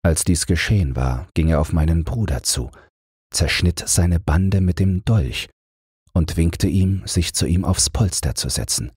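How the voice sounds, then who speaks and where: Deep, husky male storyteller. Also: a little slow, a little mellow, dry recording, studio quality. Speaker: audiobook narrator. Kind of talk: scripted bedtime fairy-tale narration.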